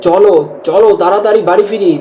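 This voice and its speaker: পুরুষকণ্ঠে উদ্বিগ্ন গ্রামের চাষি, একটু চড়া মোলায়েম গলা. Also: male voice, sits high, steady tone, fast, warm tone, slight background noise, lightly acted. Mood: anxious. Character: anxious village farmhand friend, urging haste.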